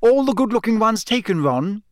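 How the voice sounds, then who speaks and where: A man's voice: on the high side, big pitch swings, a little slow, no room sound, studio quality. A man voicing a blunt, impatient teenage boy with a sour, demanding way of talking, in an audiobook. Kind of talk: audiobook character acting.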